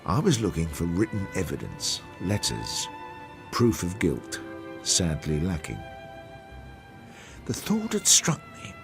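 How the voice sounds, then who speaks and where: A low-voiced detective narrating a radio mystery. He is reflective and wry, with lively rises and falls in his voice, over faint music. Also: takes it slowly, long pauses, heavily acted. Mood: reflective, puzzled. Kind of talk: scripted first-person radio drama narration.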